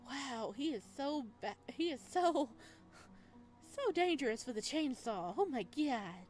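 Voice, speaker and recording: A woman's voice: sits high, lively intonation, takes it slowly, darkish tone, soft background music. A woman hosting a gaming video, giving casual, chatty, giggly let's play commentary. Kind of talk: let's play commentary and reading game text aloud.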